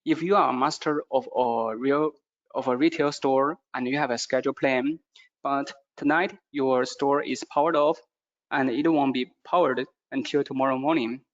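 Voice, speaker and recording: A man who is a non-native English speaker gives a product presentation in a halting way, with frequent hesitations. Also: medium pitch, fairly even intonation, muffled recording. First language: not English.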